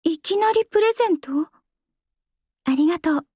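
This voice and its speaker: An actress voicing a young female idol singer in a game, calm and composed, soft-spoken and earnest. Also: very high voice, moderate pace, no echo, studio quality. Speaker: game character voice. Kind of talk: game voice lines. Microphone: close studio mic, dry.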